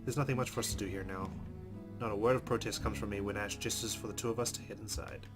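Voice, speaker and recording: A man's voice: on the low side, steady tone, quick pace. A man hosting a let's play, expressively reading visual novel narration aloud with chatty casual asides. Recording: music playing behind voice. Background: game music with guitar.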